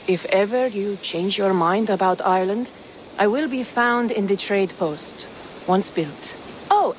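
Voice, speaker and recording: A woman's voice: medium pitch, slight background noise, close to an everyday voice, low-quality recording. An actress playing a shrewd, courteous trader woman from the east in a video game, with formal, wry, playful phrasing. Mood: grateful, wry. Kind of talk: video game cutscene acting. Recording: music under the voice.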